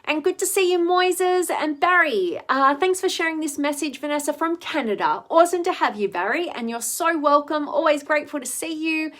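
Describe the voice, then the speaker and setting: A woman, slightly hoarse, hosting a live stream where she reflects on relationships, greets viewers and often ends her points with "right?". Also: high voice, lively intonation, moderate pace, hardly any pauses, fairly bright tone. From Australia. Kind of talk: live-stream talk reading viewer comments.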